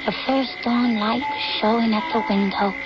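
An actress playing a fragile young daughter in an old-time radio drama, with an earnest, measured delivery. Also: female voice, a little high, fairly even intonation, close to an everyday voice. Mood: grateful, serene. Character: fragile, suffering young daughter, devoted to her father. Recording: dull old radio broadcast with loud music.

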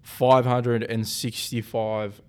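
A man hosting a casual local football podcast, relaxed and rambling, often correcting himself mid-sentence. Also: medium pitch, slow, smooth. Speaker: podcast host. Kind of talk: casual sports podcast chat.

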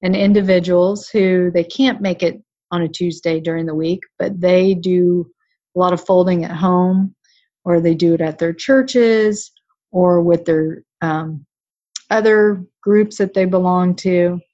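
A woman's voice: lowish voice, fairly even intonation, measured pace, dark tone. A middle-aged woman chatting in an interview, explaining things with examples. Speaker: guest, co-founder parent of a charity. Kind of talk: casual interview talk. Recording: slightly dull lo-fi recording.

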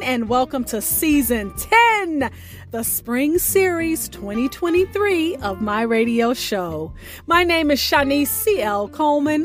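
A woman hosting a radio show, reading a scripted introduction in a deliberate, theatrical way with music behind her. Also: sits high.

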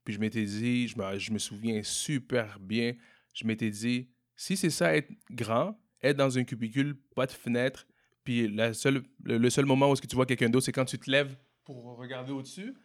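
A young man from Montreal with a Québécois French accent, answering interview questions in a relaxed way, often repeating and restarting words. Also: medium pitch, normal speed. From Montreal, Quebec. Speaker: entrepreneur guest. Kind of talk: casual interview conversation.

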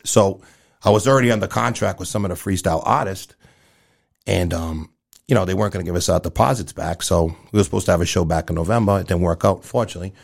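A man in his fifties with a fairly low, gravelly voice chats as an interview guest. He speaks in an earnest, candid, conversational way, as someone who promotes events. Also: quick pace. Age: 50s.

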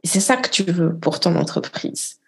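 A woman business coach leading a live group coaching session, talking directly and encouragingly with quick asides. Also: fairly low pitch, expressive, gravelly, a little mellow.

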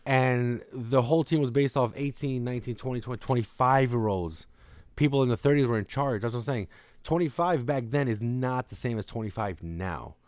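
A man's voice: mid-range voice, brisk, a little mellow, no room sound. A man in his late twenties co-hosting a podcast, talking in a blunt, emphatic way and swearing casually. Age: late 20s. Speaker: podcast co-host. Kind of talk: casual chat.

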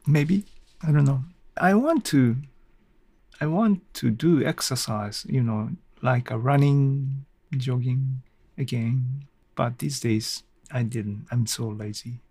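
Male solo podcaster, gravelly and dry-sounding, hesitant off-the-cuff rambling. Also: mid-range voice, darkish tone, no echo. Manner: hesitant, rambling, halting with small self-deprecating chuckles. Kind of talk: casual unscripted monologue.

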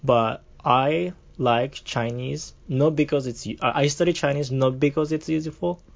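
A man's voice: medium pitch, normal speed, creaky, highs cut off. A young man from Japan with a Japanese accent, chatting as a podcast guest, hesitant with many fillers and restarts. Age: young adult. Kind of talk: casual interview chat.

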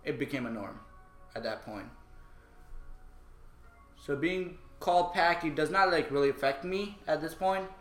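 A man's voice: a little high, measured pace, long pauses, fairly bright tone, faint music behind. A young man from Pakistan in a casual podcast chat, talking frankly and swearing freely. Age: young adult. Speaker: podcast co-host. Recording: echoey room with faint ambience.

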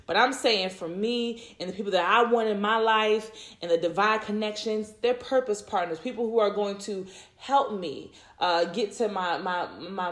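A woman in her thirties talking to listeners about faith and relationships, rambling and repeating herself with many fillers. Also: medium pitch. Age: 30s. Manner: rambling, repeats words, many "you know"s. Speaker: podcast host. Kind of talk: faith-based personal talk.

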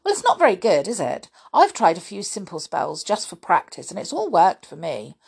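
A woman voicing a bossy, eager young witch girl who talks very fast. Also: a little high, wildly swooping pitch, husky, voice pushed up, full character voice. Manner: bossy, rapid, know-it-all.

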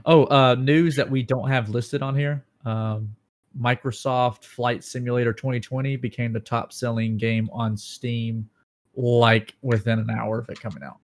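A man co-hosting a relaxed gaming podcast, his pitch sitting squarely in the middle. He talks excitedly about graphics cards and prices, with quick jokes thrown in. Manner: excitable, breaks into dramatic movie-quote impressions. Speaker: podcast co-host. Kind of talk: casual gaming news chat.

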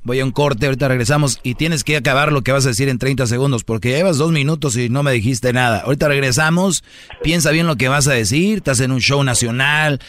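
A man's voice: medium pitch, fast, fairly bright tone. A man with a Mexican accent hosting a call-in radio talk show in a blunt, challenging, conversational way, full of rhetorical questions. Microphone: close studio microphone.

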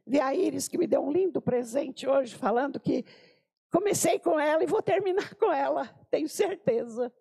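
A woman's voice: sits high, vocal fry. An older Brazilian woman giving an informal sermon to women, telling anecdotes and acting out the people she quotes. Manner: animated, acts out quoted voices, exclaims. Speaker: preacher at a women's gathering.

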